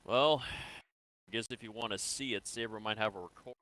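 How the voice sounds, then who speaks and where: A man playing a calm, businesslike sci-fi military interrogator in an improvised game roleplay, questioning in a measured, conversational way. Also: lowish voice, rough recording. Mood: calm, probing. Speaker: player in an online roleplay.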